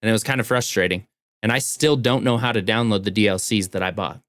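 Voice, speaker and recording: A man's voice: on the low side, fast talker, a bit bright, no room sound. A man chatting casually about games as a podcast co-host. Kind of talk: casual video game podcast chat.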